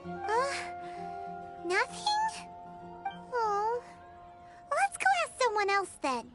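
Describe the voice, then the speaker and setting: Casting: perky childlike game fairy, stubborn and excitable, extremely high squeaky pitch, animated delivery. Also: female voice, very slow, exaggerated voice. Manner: exclamatory, speaks of self by name. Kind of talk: video game character acting. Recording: music behind the voice.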